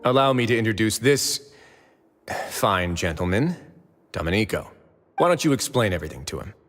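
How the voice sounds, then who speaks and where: An actor playing a suave, composed, politely menacing prison overseer in a video game, talking in a wry, smooth way. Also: male voice, fairly low pitch, very animated, normal speed, fairly bright tone, faint music behind. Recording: long reverb, clear background noise.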